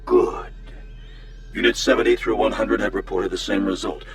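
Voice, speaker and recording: A man's voice: on the low side, fairly even intonation, normal speed, lightly acted. An actor playing a cold, authoritative male alien commander in a radio drama, clipped and formal. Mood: cold, commanding.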